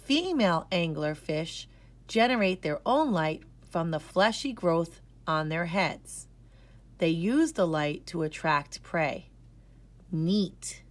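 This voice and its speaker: Woman, storybook narrator, slow measured pace, lively intonation, slightly low pitch, carefully enunciated. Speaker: children's storybook reader. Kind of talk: scripted read-aloud narration.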